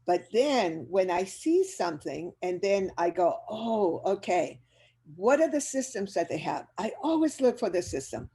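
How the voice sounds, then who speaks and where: An older woman with a Chilean Spanish accent, for whom English is a second language. She talks to her audience over a video call in an upbeat, motivational way, with a mellow, warm tone. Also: medium pitch, unhurried. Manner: energetic, upbeat, addresses audience directly. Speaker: business speaker. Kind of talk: motivational webinar talk.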